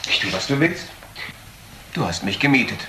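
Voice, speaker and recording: A man's voice: mid-range voice, animated, close to an everyday voice. Man acting a bitter nephew, roomy noisy recording. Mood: scornful. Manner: reproachful, sardonic, pointed pauses. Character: bitter, taunting young nephew of an unloved aunt. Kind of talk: German radio crime drama acting. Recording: roomy, clearly noisy old audio.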